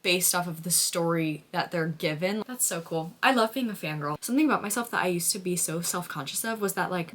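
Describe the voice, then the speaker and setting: A young adult American woman chatting casually in a reading vlog, with an expressive, gushing fangirl delivery and frequent use of "like". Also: lowish voice. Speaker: book vlogger.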